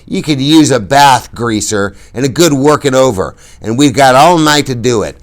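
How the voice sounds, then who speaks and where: A drunk, mocking rich boy who slurs and sneers, as a man puts him on, much higher and louder than the reader's own deep voice. He drags his insults out slowly. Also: lively intonation, lightly acted. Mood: taunting. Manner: mocking, slurred, taunting.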